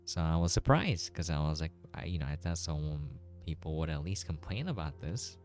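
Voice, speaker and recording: Very deep-voiced man commenting on gameplay. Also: animated, a little fast, darkish tone. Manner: rambling, conversational. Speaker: video game reviewer.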